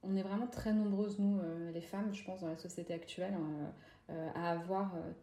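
Woman podcast host, lowish voice, mellow, hesitant, echoey room. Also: fairly even intonation, normal speed. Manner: hesitant, many restarts and fillers. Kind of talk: interview questions.

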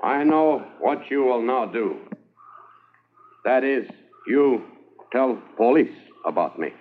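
A man voicing a menacing escaped convict who speaks in slow, clipped, broken English. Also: medium pitch, lively intonation, pitched below the performer's own voice. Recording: tinny 1950s radio transcription.

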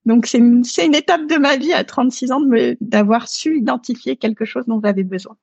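A woman's voice: on the high side, fairly quick, gravelly, a little mellow, breathy edge, no echo, highs cut off. A French woman in her thirties, a podcast guest talking casually and hesitantly, with many fillers and restarts. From France. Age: 30s. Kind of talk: casual interview talk.

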